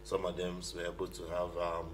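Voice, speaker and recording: A Nigerian-accented man with a low voice explains things as a studio guest. He speaks haltingly and repeats himself, with noise and a hum behind him. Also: monotone. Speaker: guest, hackathon organiser. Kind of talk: radio interview, explaining. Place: radio studio. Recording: clear background noise with hum.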